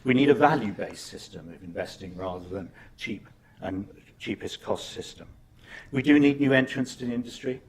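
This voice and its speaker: A man's voice: fairly low pitch, breathy edge. A man giving an emphatic, argumentative talk at a panel debate, repeating key phrases. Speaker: panel speaker. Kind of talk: conference presentation.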